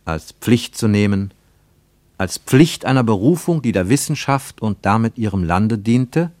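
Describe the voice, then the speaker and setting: A man reading scripted literary narration for radio, with measured, rhetorical and emphatic phrasing. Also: on the low side, darkish tone, no echo.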